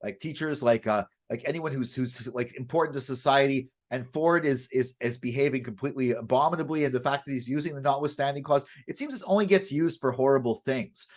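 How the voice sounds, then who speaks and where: A man's voice: medium pitch, fairly quick, few pauses. A man co-hosting a current affairs show, chatting casually and unscripted, often repeating and restarting his phrases. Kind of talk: casual unscripted news chat.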